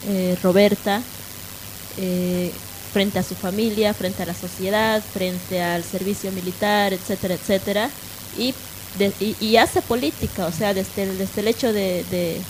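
A woman's voice: medium pitch, steady tone, measured pace, noisy background. A woman in an informal radio discussion as co-host, speaking conversationally with trailing tag questions.

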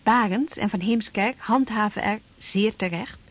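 A woman's voice: medium pitch, a little slow. A woman reading scripted narration for a historical radio drama.

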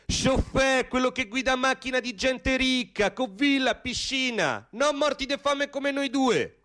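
An actor playing a young Romanian immigrant labourer in Rome, proud and teasing, speaking broken Italian with a Romanian accent in a radio play. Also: male voice, very high voice, measured pace, sharp tone, exaggerated voice. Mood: agitated, complaining. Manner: broken Italian with missing articles and verbs. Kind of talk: stage radio drama dialogue.

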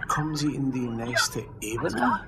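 An actor playing a confident, evasive doctor who designs a game world, in a radio drama. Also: male voice, medium pitch, sing-song, measured pace, very noisy.

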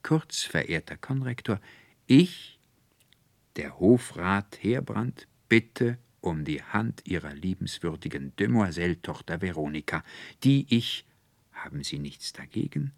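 A man's voice: low voice, very animated, unhurried, creaky, no room sound, heavily acted. A man voicing a solemn, self-important official in a storybook reading, speaking with ceremonious, pompous formality. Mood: earnest, pompous.

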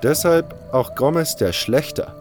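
A man's voice: on the high side, unhurried, music in the background, voice pushed up, close to an everyday voice. A man voicing a blunt, knowing prison-camp guide who explains things frankly in a fantasy audio drama. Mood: frank, wary.

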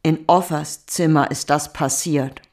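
Scared grieving mom being questioned, really low creaky voice, defensive, radio play. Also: female voice, fairly even intonation, unhurried, darkish tone. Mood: defensive, shaken. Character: frightened, defensive, grieving mother under interrogation. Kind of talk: German radio drama acting.

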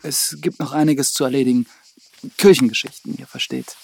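An actor playing an earnest, reserved priest who probes and questions, in a radio drama with first-person narration. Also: male voice, mid-range voice, brisk, gravelly, slightly rough. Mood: awkward, evasive.

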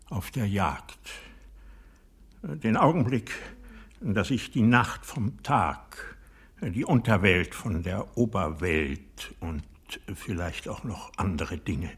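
A proud, embittered old nobleman in a radio play. His voice is very husky and breathy, often close to a whisper. He speaks slowly and haltingly, with intense reproach and a widely swinging pitch. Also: exaggerated voice. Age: older. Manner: deliberate, halting, intense, accusing. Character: bitter, proud old aristocratic man, former hunter, brooding over betrayal. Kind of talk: radio drama monologue acting.

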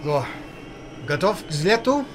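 An actor playing a chatty, cynical East German army helicopter pilot in a radio drama, speaking in short, breezy phrases. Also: male voice, high voice, very animated, unhurried, background noise. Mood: brisk, casual.

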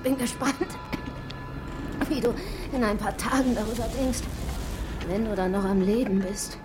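An actress playing a cold, cynical and ruthlessly pragmatic survivor in a radio horror drama. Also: female voice, medium pitch, normal speed, noisy background, lightly acted.

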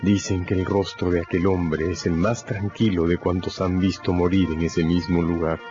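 Low-voiced man narrating radio drama. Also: little pitch movement, moderate pace, warm tone. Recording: dull recording, music behind.

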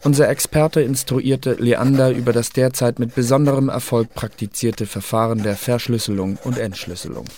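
An actor playing an officious secret service clerk who reads out reports in a formal, clipped way in a German radio drama. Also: male voice, mid-range voice, fairly even intonation, moderate pace, hardly any pauses, warm tone, close to an everyday voice.

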